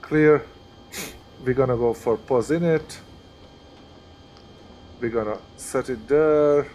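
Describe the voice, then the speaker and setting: A man's voice: mid-range voice, slow pace, a little mellow, a little hiss or hum. Chatty Hungarian-accented man talking himself through tasks. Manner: chatty, thinks aloud while working through steps. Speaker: flight sim streamer. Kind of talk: live-stream gameplay commentary.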